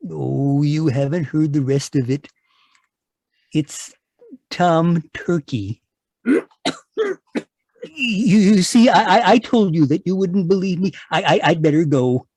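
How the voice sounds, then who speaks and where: A man's voice: a little high, slow pace, long pauses, a little mellow, no room sound. Man playing stammering, timid little middle-aged man. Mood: flustered. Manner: stammering, hesitant, flustered. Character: timid, nervous, small middle-aged man fearing for his life. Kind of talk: 1940s radio drama acting.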